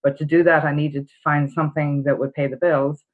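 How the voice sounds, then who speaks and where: A woman's voice: low voice, flat delivery, brisk. A middle-aged woman from Ireland with an Irish accent, telling her story casually as a podcast guest. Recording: dull narrow-band call audio.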